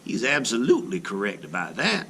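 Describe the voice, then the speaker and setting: A man's voice: fairly low pitch, huge pitch swings, fairly quick, vocal fry, sharp tone, slight background noise, pitched below the performer's own voice, exaggerated voice. A man voicing a kindly, knowledgeable grandmother in a storybook, emphatic and exclaiming. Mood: assured, explaining.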